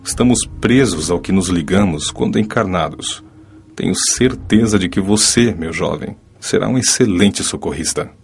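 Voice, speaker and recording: A kindly male spirit doctor voiced by a man speaks in a deep, measured voice. He is calm and didactic, an audio-drama mentor with faint music beneath him. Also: gravelly, breathy edge, full character voice. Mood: encouraging. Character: kindly wise male spirit physician and rescuer.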